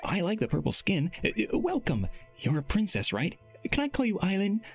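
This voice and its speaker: A man's voice: high voice, huge pitch swings, a little fast, faint music behind, pitched above the performer's own voice, exaggerated voice. A man narrating a story and voicing an exuberant teenage boy who gushes and stammers in a raised voice. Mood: excited. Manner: gushing, stammering.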